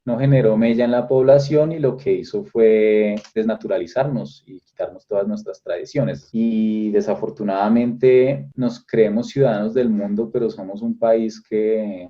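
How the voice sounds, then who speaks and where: Low, dark-voiced Colombian young man. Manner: thoughtful, restarts phrases, rises when stressing points. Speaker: interviewee. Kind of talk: reflective interview answers. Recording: dull lo-fi recording.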